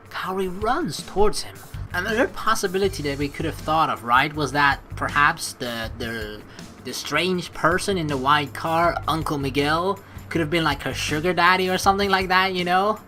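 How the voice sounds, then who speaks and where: Woman, let's play host, lowish pitch, animated intonation, chatty, expressive story reading, rambling commentary, faint background music. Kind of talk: game playthrough, reading narration aloud with casual commentary.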